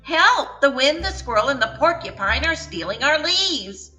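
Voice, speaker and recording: A woman's voice: sits high, very animated, unhurried, sharp tone, faint music behind, pitched above the performer's own voice, heavily acted. A woman voicing a small, worried young male fox who talks earnestly and pleads. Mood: anxious.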